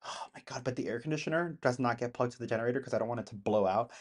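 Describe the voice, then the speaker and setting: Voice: medium pitch, very fast. A person in their thirties chatting casually with live-stream viewers from home in the countryside, rambling and laughing often. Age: 30s.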